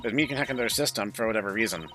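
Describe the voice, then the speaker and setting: A man's voice: lowish voice, very fast, sharp tone, a little hiss or hum. A man voicing a blunt, cocky female AI virus from a visual novel, matter-of-fact and precise. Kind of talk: visual novel character read-aloud.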